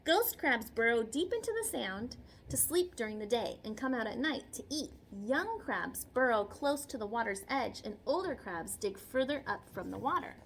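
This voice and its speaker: Lady teacher doing a kids read-aloud, highish lively voice, explains stuff simply and asks questions, bit of noise behind. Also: moderate pace. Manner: expressive, simple child-directed explaining with repetition and questions. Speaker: children's teacher reading a picture book aloud. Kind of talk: read-aloud lesson with chatty explanatory asides.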